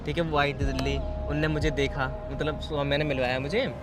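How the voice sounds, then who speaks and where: A man's voice: on the high side, flat delivery, a little fast, loud music behind the voice. A man of twenty chatting in a casual, teasing way, repeating himself as he explains. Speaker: prank video host. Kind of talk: casual chat, teasing banter.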